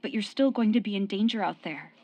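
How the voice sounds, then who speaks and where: An actress in a radio drama playing a loyal, forgiving young sweetheart with a firm streak. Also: female voice, mid-range voice, animated, brisk, a little mellow, dry recording. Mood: relieved, worried.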